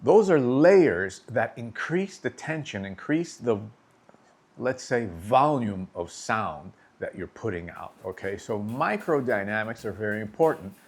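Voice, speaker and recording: A man teaching drumming in a relaxed, conversational way, asking rhetorical questions and checking in with his listeners. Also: fairly low pitch, unhurried, husky. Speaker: drum teacher. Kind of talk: instructional talk to camera.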